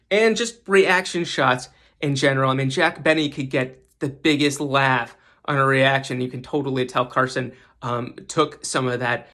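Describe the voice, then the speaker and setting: A man's voice: mid-range voice, pushed and bright. A man who hosts a podcast, giving casual, emphatic commentary and often repeating words for stress. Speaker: podcast host giving a guest segment.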